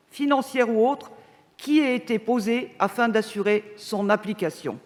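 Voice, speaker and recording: A woman opposition councillor giving a formal, prepared council speech in a firm, critical and deliberate manner. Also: a little high. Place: large council meeting hall. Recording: reverberant council chamber sound.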